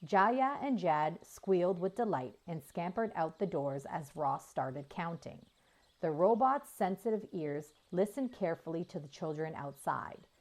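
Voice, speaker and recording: A woman reading a children's book aloud as an expressive storyteller, with deliberate emphasis for young listeners. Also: lowish voice. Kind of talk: expressive scripted children's narration.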